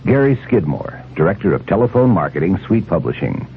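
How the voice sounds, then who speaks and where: A man's voice: low voice, big pitch swings, brisk. A man reading scripted narration as the announcer of a vintage radio commercial. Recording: narrow, lightly noisy vintage radio ad.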